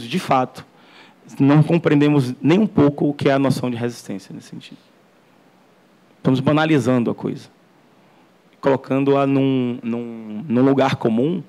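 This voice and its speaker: A man's voice: mid-range voice, creaky, a little mellow. Earnest male lecturer in an auditorium. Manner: earnest, frequent restarts and repeated words. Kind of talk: academic lecture. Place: university auditorium.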